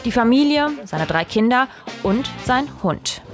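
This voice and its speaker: A woman's voice: a little high, expressive, measured pace. A woman reading a scripted voice-over for a television news report. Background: music.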